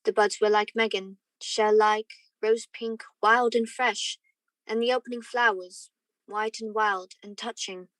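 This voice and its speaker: A woman playing an earnest, lovestruck young gentleman who narrates his romance in a radio play. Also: mid-range voice, fairly even intonation, unhurried, no echo, close to an everyday voice. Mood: wistful.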